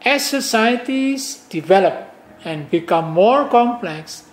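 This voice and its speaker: An older professor gives an academic lecture, stopping often on fillers and restarts. His voice sits fairly high and moves at an unhurried pace. The room around him echoes noticeably. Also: expressive. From Indonesia. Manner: slow, halting delivery with many fillers and restarts.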